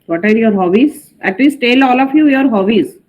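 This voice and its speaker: A woman teacher running an online class, asking students brisk questions in non-native phrasing. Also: on the high side, animated, darkish tone, dull audio. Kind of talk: online class roll call.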